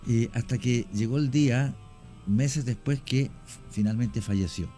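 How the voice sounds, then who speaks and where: A middle-aged man with a Chilean accent, a guest on a radio show, telling stories slowly with many pauses and restarts. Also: medium pitch, dark tone, soft background music. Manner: unhurried, many fillers and restarts, anecdotal. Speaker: guest storyteller. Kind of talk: radio conversation, recounting cases.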